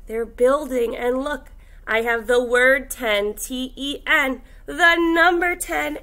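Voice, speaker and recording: Young female kindergarten teacher for a children's read-aloud lesson; bright, high voice, exaggerated and encouraging. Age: young adult. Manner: animated, exaggerated, encouraging, slow enunciation for small children. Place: indoors, classroom.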